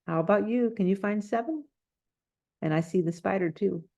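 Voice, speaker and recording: A woman's voice: medium pitch, normal speed, gravelly, dark tone. A woman reading a children's storybook aloud, adding casual, simple explanations and questions for young listeners. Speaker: storybook reader.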